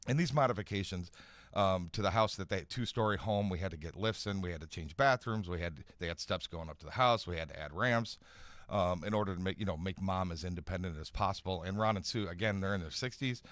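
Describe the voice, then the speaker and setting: A man speaking informally as an expert guest in a radio interview, explaining haltingly, repeating phrases and often checking for agreement. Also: low-pitched, a bit bright, dry recording, studio quality. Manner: hesitant explaining, repeats phrases, seeks agreement with "right?" and "you know". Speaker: guest expert on home accessibility. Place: radio studio.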